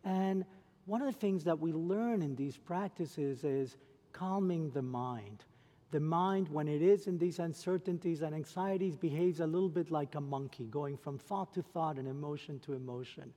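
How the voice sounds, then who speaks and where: A man moderating a gathering, introducing a meditation talk in measured, gentle phrases. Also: a little high. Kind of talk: calm guided introduction. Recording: long hall reverb.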